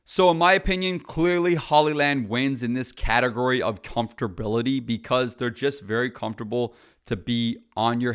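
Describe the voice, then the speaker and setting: A man's voice: mid-range voice, fairly bright tone, clear, resonant tone. A man, a filmmaker, chatting casually and bluntly through a product review.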